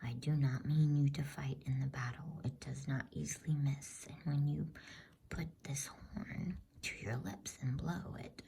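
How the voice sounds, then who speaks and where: A woman voicing a grave, kindly old male gift-giver in a breathy whisper for a storybook reading. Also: a bass voice, steady tone, measured pace, warm tone, a little hiss or hum, heavily acted. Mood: solemn, kindly.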